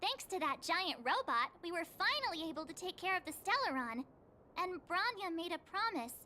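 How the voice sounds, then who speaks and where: A woman's voice: extremely high pitch, big pitch swings, normal speed, bright, forceful tone, heavily acted. A woman playing a perky, outspoken teenage girl adventurer in a video game, bubbly and casual. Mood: upbeat.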